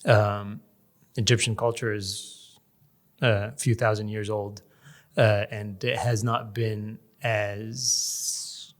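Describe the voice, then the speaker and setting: A man from Canada, a guest in a casual interview, talking in a measured way and often pausing to search for words. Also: on the low side, level tone. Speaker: podcast guest, real estate developer.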